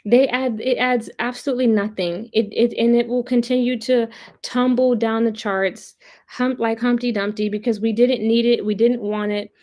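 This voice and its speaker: A woman's voice: fairly high pitch, steady tone, moderate pace, a little mellow. A woman hosting a casual livestream of commentary, chatting with viewers in a blunt, opinionated, profane way and repeating points for emphasis. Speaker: host of a pop culture commentary livestream. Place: live online stream.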